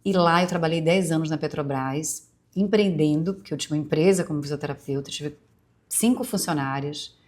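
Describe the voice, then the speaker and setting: A woman in her forties with a Bahian Brazilian accent softened by years in Rio, hosting a podcast in a rambling, confiding personal monologue full of asides. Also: low voice, fairly quick. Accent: Brazilian Portuguese, Bahian softened by years in Rio. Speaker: podcast host.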